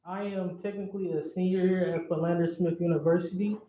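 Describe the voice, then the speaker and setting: Young guy, soft mellow voice, casual student intro, echoey room w faint noise. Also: sits high, fairly even intonation, brisk. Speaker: student society president. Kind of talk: casual self-introduction to a group.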